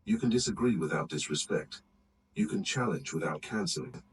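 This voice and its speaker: Male narrator, low and measured, set back from the mic. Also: expressive. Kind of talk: read-aloud narration of aphorisms. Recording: distant mic with faint background noise.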